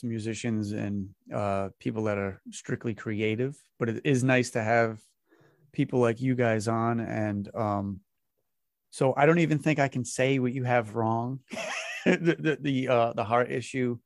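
A relaxed man with a New England accent hosts a casual chat, pausing on fillers and chuckling between questions. His voice sits at an everyday pitch and sounds dry and close, with no room around it. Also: no room sound. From Massachusetts, near the Rhode Island border. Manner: laid-back, chuckles often, halting with many fillers. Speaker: podcast host.

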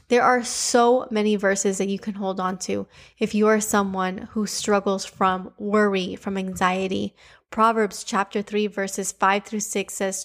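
A young woman with a Cuban accent hosting a devotional podcast, teaching scripture and leading prayer in an earnest, emphatic way. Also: medium pitch.

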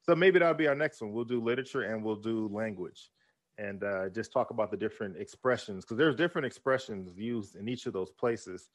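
A man's voice: lowish voice, brisk. A man co-hosting a podcast in casual chat, eager and playful, laughing often. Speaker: podcast co-host.

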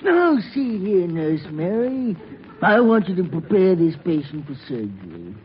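An actor playing a pompous, bungling surgeon in a vintage radio comedy sketch. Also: male voice, high-pitched, very animated, measured pace. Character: pompous, inept famous surgeon in a spoof. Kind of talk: 1940s radio comedy acting. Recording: dull, noisy old radio broadcast.

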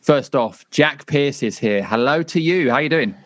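A tired man hosts a casual podcast, chatting with quick asides and easy laughs. His voice sits in a middle pitch. It is recorded dry and close. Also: lively intonation, fairly bright tone, dry recording. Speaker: podcast host.

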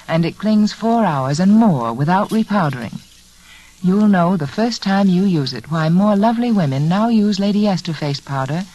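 A woman reading a vintage radio advertisement in a measured, persuasive way. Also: lowish voice, mellow, highs cut off, slight background noise. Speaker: commercial announcer. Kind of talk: 1940s radio advertisement read.

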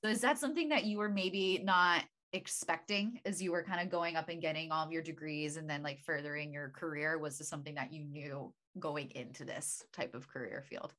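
Chatty woman interviewer, lowish voice. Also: brisk, hardly any pauses, a bit bright. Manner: chatty, uses like and you know. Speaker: interviewer host. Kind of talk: casual interview questions.

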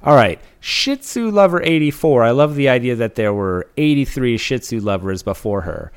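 A man's voice: medium pitch, expressive, normal speed, clear, resonant tone. A young man from Alabama co-hosting a casual comedy podcast, bantering and reading listener reviews aloud. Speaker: podcast co-host.

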